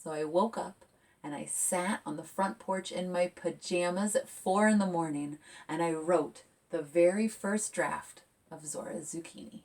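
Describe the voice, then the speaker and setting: A woman children's author talking and reading a picture book aloud for young listeners in an expressive, engaging way, as if asking them along. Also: lowish voice, unhurried, distant mic.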